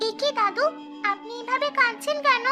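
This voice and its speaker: A woman's voice: very high-pitched, normal speed, music in the background. A woman voicing a caring, eager little village girl in a children's cartoon, earnest and coaxing. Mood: concerned. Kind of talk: Bengali children's cartoon voice acting.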